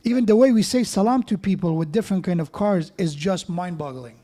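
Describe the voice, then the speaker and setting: A man's voice: high voice, a little fast, dark tone. A man preaching a sermon in a mosque, conversational, with joking asides and frequent restarts.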